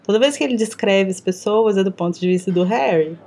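A woman's voice: medium pitch, fairly quick, darkish tone. A woman with a strong Minas Gerais accent, co-hosting a book-discussion podcast in a playful, chatty way. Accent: Minas Gerais Portuguese. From Minas Gerais, Brazil. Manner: playful, joking, chatty with many fillers. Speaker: podcast co-host. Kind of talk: casual chat.

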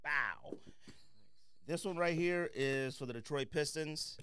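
A man with a smooth, clear voice speaks in a dry, close-sounding space. He hosts a casual review podcast, giving firm opinions in relaxed slang and hammering points by repeating them. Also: a little high, slow, no room sound. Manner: opinionated, slangy, emphatic repetition. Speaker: podcast host. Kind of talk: casual sneaker review chat.

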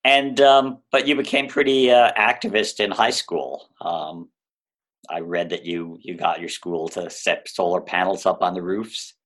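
Man, very low voice, podcast host, halting hesitant questions. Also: fairly bright tone. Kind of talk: conversational interview questions.

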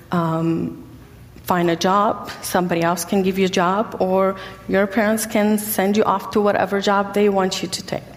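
A young woman from Egypt giving a personal testimony as a guest speaker, halting often with ums and pauses. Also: lowish voice, long reverb tail. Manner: hesitant, many ums and pauses. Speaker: guest speaker sharing her story.

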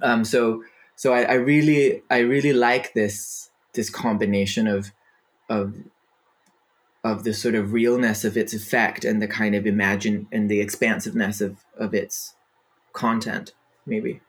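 A man's voice: on the low side, little pitch movement, measured pace. Hesitant man, art writer guest. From Denmark. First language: Danish. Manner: hesitant, many fillers and restarts, laughs easily. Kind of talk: casual interview conversation.

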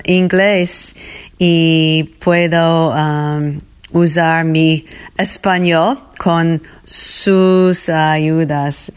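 A woman with an American accent speaking learner Spanish haltingly, in a personal message to a show she follows. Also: low-pitched. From United States. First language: English. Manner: halting, searching for words. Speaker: podcast listener sending a voice message.

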